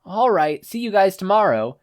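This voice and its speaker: Reader doing teen boy hero, higher voice, excitable, exasperated, all over the place. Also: normal speed, no room sound, lightly acted. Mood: casual, confused.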